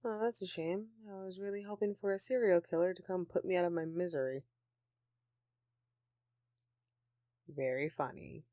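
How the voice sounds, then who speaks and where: A woman's voice: lowish voice, fairly quick, dark tone, no echo, clean studio sound, lightly acted. A woman playing a grumpy but affectionate Christmas elf in an intimate audio roleplay, with a sarcastic, dramatic, sighing delivery. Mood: sarcastic, sulky.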